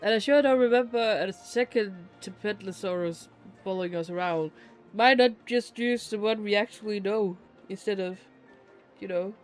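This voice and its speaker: High-voiced man streaming a game. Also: moderate pace, clean tone. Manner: rambling, incredulous, bantering with viewers. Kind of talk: casual live gameplay commentary. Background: faint game music.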